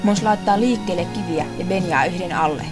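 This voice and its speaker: A woman's voice: fairly low pitch, loud music behind the voice. A woman reading scripted story recap narration as an amateur dubber.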